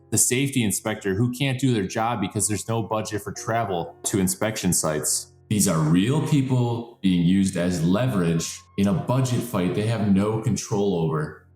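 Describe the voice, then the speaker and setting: A man with a fairly low voice narrates a scripted explainer. His delivery is emphatic and dramatic, stressing points like an urgent commentator. The sound is noticeably echoey, with soft music underneath. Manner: emphatic, dramatic, building lists for effect.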